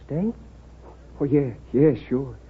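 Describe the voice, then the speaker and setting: An actor playing an evasive wounded nineteen-year-old lookout, curt and edgy, in a 1930s radio drama. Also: male voice, mid-range voice, slow, breathy edge. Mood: resigned. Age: young adult. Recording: muffled, tinny 1930s radio recording.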